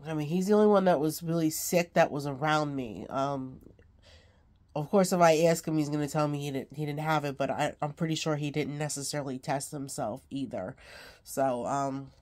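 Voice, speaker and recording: A woman's voice: low-pitched, moderate pace, darkish tone. A sick, congested woman chatting casually to her phone camera at home, rambling with many ums and small laughs. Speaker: craft vlogger.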